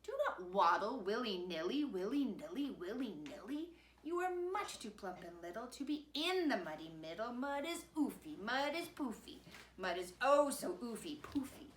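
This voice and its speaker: A woman's voice: on the high side, very animated, normal speed, a little hiss or hum. Young brother pig, played by a woman: silly, bossy storybook boy, emphatic singsong, echoey room.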